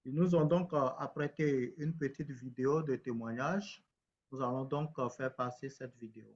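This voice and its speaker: A man's voice: mid-range voice, steady tone, moderate pace. A man acting as master of ceremonies, formally introducing segments over slightly dull online call audio. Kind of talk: formal hosting of an online event.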